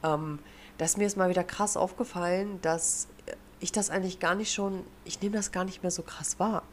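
A German woman with a notably low voice chats loosely on a podcast, full of fillers and casual slang. She sounds a little stuffed up and nasal from a cold, with faint room ambience behind her. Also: steady tone, normal speed, slight background noise. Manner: chatty, lots of fillers and slang. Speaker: podcast co-host. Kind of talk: casual chat. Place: living in the United States.

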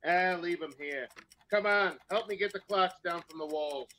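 An actor playing a smug, slangy, sardonic crook in a vintage radio crime drama. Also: male voice, high-pitched, moderate pace, pushed and bright, a little hiss or hum.

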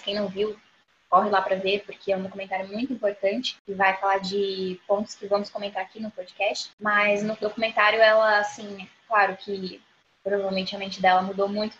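Rambling woman on a dull lo-fi mic. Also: medium pitch. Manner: relaxed, rambling, asks "entendeu?". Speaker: podcast co-host. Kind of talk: casual podcast chat. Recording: dull lo-fi mic with faint noise.

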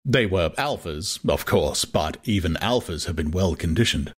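A man narrating a dramatized audiobook in a measured, literary manner. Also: sits low, expressive, husky.

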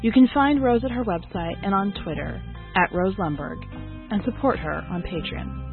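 Woman, mid-pitched, scripted podcast narration, narrow tinny band, light music underneath. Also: moderate pace, gravelly. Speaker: podcast host and editor introducing poetry. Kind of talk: scripted narration, reading contributor biographies.

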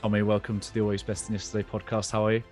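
A man with a deep, low voice hosting a podcast. He talks in an encouraging, easygoing way and slips in soft murmurs of agreement. Faint music plays under him. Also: monotone, racing. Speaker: podcast host. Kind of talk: scripted intro, then casual interview.

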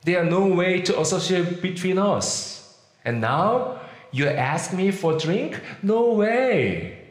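A man with a Korean accent preaches a sermon, his fairly high voice swooping up and down as he acts out the people in his stories. He leans hard on key words. The sound rings around an echoey room. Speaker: pastor.

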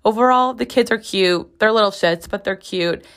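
A young woman talks through her solo podcast in a loose, chatty ramble, peppered with "like." Her voice is slightly raspy as it recovers after being lost. She records from her bathroom at home. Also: medium pitch, moderate pace, a bit bright. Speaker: podcast host. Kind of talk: casual solo podcast chat.

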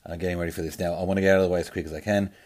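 A man's voice: low voice, flat delivery, rapid-fire, a little mellow. A man hosting a sports podcast, with a jokey, rambling, mock-grand way of introducing. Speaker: podcast host. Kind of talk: casual comic podcast intro.

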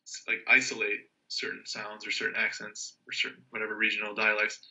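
A man's voice: fairly low pitch, completely flat delivery, fairly quick, sharp tone, highs cut off. A man chatting casually as a guest, thinking aloud and rambling with restarts. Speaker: language learner guest. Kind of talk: casual conversation.

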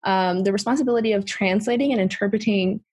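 Young woman hosting a podcast, kinda husky, earnest and lively. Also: medium pitch, fast. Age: young adult. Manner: earnest, leads the topic, expressive. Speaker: podcast host. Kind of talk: casual chat.

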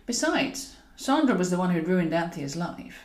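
A British woman narrating a comic novel in a wry, expressive way, as a scripted audiobook reading. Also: fairly low pitch, moderate pace. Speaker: author and narrator. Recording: roomy, echoing voice recording.

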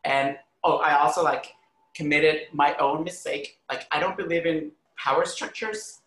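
A bright, forceful man's voice, pitched a little high, in a roomy recording. He is from Honduras and talks as an interview guest, earnest and emphatic, in a conversational way full of small filler phrases. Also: fairly even intonation, a little slow. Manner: earnest, emphatic, frequent "like" and "you know". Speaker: arts critic and educator, podcast guest. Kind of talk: conversational interview, personal storytelling.

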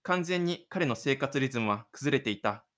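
A man with a gentle, chatty manner, speaking as the host and narrator of his own audio drama. He talks in an unhurried, conversational way, as if to listeners close by, at a medium pitch. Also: expressive.

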